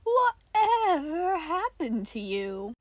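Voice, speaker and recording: A woman voicing a delighted, unnaturally chipper wooden toy soldier with old-fashioned gentlemanly speech in an audio story. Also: extremely high pitch, very animated, takes it slowly, dry recording, pitched above the performer's own voice, full character voice. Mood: eerie delight.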